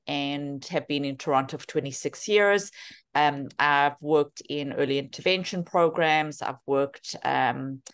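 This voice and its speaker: A woman in her fifties with a South African accent, speaking earnestly as a therapist introducing her work in a webinar. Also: low-pitched. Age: 50s. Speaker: physiotherapist and therapy program co-founder. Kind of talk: webinar talk, self-introduction.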